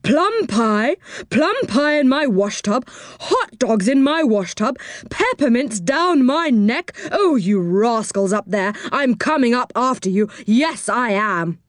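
A woman's voice: sits high, expressive, extremely slow, few pauses, a little mellow, no echo, studio quality, pitched above the performer's own voice, exaggerated voice. Dame Washalot, a reader's indignant scolding washerwoman. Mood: outraged. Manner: exclaiming, scolding.

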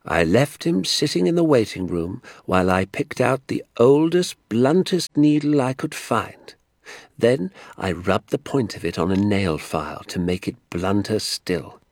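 A man voicing an excitable little old country doctor in an audiobook, kindly and indignant, with emphatic, drawn-out, theatrical delivery. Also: lowish voice, dry recording, clean studio sound, voice pushed up. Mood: indignant relish. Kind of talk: acted audiobook dialogue.

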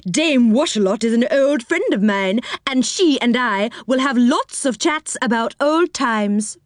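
Narrator lady as a snappy scolding old woman, storybook style, highish voice, very dry sound. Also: no room sound. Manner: scornful, snappish. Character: cross, scolding old woman, haughty and fed up.

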